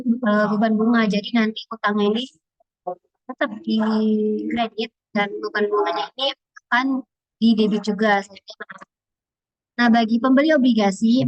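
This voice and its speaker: A woman's voice: fairly high pitch, no room sound. A young woman, a student explaining answers informally in a class presentation. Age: young adult. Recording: dull, lo-fi audio.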